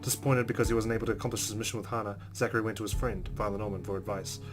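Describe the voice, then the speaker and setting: A man's voice: fairly low pitch, level tone, racing, music in the background. A man reading a visual novel aloud as a let's play host, in a narrating style.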